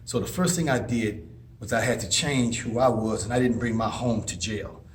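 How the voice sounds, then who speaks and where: A man's voice: mid-range voice, moderate pace, slight background noise. A man giving casual advice and personal stories in an earnest, rambling way with frequent restarts. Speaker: advice vlogger and former inmate.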